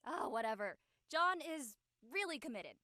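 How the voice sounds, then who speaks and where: Actress for breezy young female friend, drama, sarcastic, very lively pitch, dry recording. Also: moderate pace, dry recording, exaggerated voice. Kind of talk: acted dialogue in an audio-led play.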